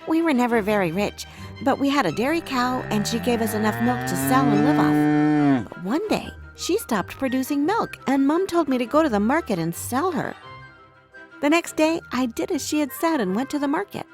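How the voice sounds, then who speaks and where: A woman narrating a scripted bedtime story for young children in an expressive way, telling it as the main character. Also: sits high, normal speed, over music.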